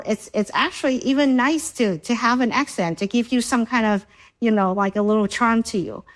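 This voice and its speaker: A woman's voice: on the high side, rough recording. Vietnamese-accented woman, reflective storyteller. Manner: reflective, with frequent restarts and "you know". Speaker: storyteller guest. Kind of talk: panel discussion.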